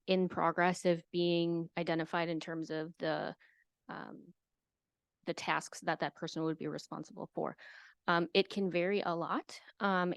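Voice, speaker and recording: A woman who works as an extension educator, explaining a program to a county committee in a hesitant way, with many filler pauses. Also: deep voice, clear, resonant tone, no room sound, studio quality. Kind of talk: committee meeting presentation.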